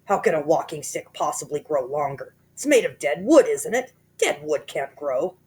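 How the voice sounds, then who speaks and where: A woman's voice: deep voice, very animated, normal speed, a little mellow. A woman voicing a nasty old man who sneers and taunts his wife. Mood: gloating. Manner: sneering, teasing.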